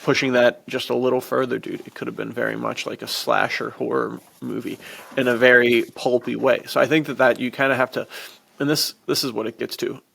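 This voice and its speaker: A man's voice: mid-range voice, level tone, brisk, creaky, fairly bright tone. A man co-hosting a podcast, chatting casually and enthusiastically in slangy, informal wording. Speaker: podcast co-host.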